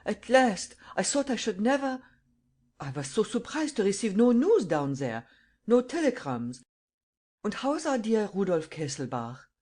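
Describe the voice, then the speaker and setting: A reader putting on an old man with a thick German accent, jumpy and secretive. The voice leaps far above the reader's own, loud and breathless in its outbursts, with a strained, unfamiliar tone. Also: mid-range voice, sing-song, a little slow, pitched above the performer's own voice. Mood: overjoyed. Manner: stammering, exclaiming.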